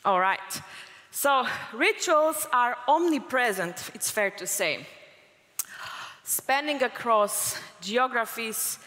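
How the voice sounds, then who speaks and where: Bright-voiced woman giving a conference talk. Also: on the high side, lively intonation, slow. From Croatia. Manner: fluent, explanatory, with occasional fillers. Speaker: conference speaker. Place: large conference hall. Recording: hall reverb from a conference stage.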